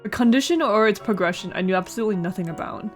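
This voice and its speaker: A woman's voice: mid-range voice, animated, rapid-fire. Playful woman reading a game aloud. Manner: playful, stumbles over words and comments on them. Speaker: let's play host. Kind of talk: casual visual novel read-aloud with joking asides. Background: music.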